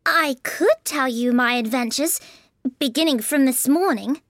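A timid, curious little storybook girl. She sounds prim and wondering, extremely high, with a lively melody. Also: a little slow, dry recording, studio quality, full character voice.

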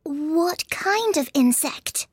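A prim young storybook girl, played by a man, curious and a little impatient. The voice sits extremely high, shifted far up, with a close, dry sound. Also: animated, unhurried, pushed and bright, no echo, heavily acted.

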